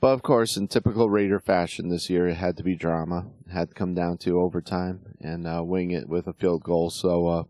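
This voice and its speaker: A middle-aged man hosting a casual sports talk show, slightly hoarse, rambling with lots of fillers and restarts. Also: low-pitched, steady tone, a little fast, few pauses, warm tone, dry recording. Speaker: podcast host.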